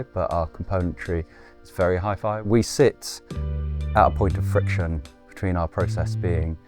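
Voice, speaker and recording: A man, talking casually and loosely about designing and building speakers, playful and with occasional swearing. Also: low voice. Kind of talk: casual interview talk.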